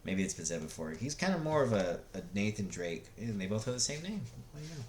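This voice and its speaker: A man's voice: lowish voice, sing-song, fast, slight background noise. A man giving casual, unscripted opinions like a video blogger, blunt and rambling, often hesitating as he searches for words.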